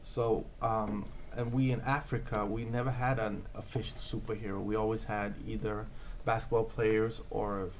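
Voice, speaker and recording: A man's voice: on the low side, little pitch movement, moderate pace, hardly any pauses, slight background noise. A man in his thirties from Norway, answering interview questions in an earnest, hesitant way with many fillers and restarts. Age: 30s. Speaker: interview guest, comic book creator.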